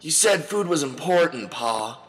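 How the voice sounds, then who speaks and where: A man's voice: a little high, expressive, unhurried, fairly bright tone. A man playing a scared, kind-hearted young boy in an audio comedy drama, speaking in simple, pleading phrases. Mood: earnest.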